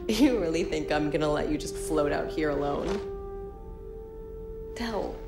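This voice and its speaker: An actress playing a resolute Imperial special forces commander in a video game cutscene. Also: female voice, low-pitched, animated, measured pace, creaky, echoey room, over music, exaggerated voice. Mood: determined, loyal.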